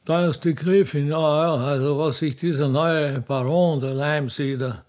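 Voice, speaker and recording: A man's voice: on the high side, fairly even intonation, unhurried, a little mellow, voice pushed up, natural voice. A man voicing snobbish Viennese court aristocrats in a radio play, gossiping in a rambling, disdainful, repetitive way.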